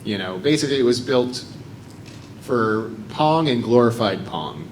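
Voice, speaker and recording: Panel regular: American man, mid-pitched, chatty explainer. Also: lively intonation, a little slow, a little hiss or hum, poor audio. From United States. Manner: talkative, explanatory, with fillers. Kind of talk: convention panel talk.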